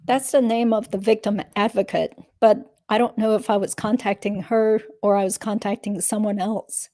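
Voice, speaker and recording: A woman's voice: medium pitch, fairly even intonation, normal speed, dark tone, no echo, studio quality, close to an everyday voice. A woman reading the part of a guarded witness testifying in a legal deposition. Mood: guarded, matter-of-fact.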